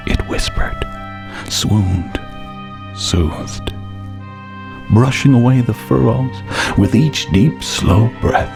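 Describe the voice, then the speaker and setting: An actor playing a hip, playful narrator of Greek myths in a radio drama, with theatrical rhyming delivery. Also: male voice, on the low side, creaky. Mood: hushed, dreamy. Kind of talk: rhythmic rhyming radio storytelling over music. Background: music.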